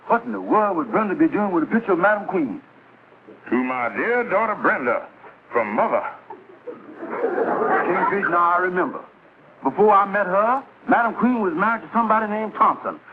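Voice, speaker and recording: Scratchy, tinny recording of an excitable American schemer. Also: male voice, sits high. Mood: alarmed, puzzled. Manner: folksy, ungrammatical, broad comic delivery. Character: excitable, scheming middle-aged man in a radio-era sitcom. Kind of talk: 1950s American sitcom acting. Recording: scratchy, tinny old TV soundtrack, heavy noise.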